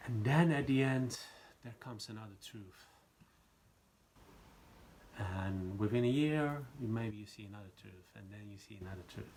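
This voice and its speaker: A man talking reflectively about spiritual ideas in short, halting phrases with pauses between them. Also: lowish voice, darkish tone. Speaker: discussion participant. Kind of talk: slow reflective spiritual talk.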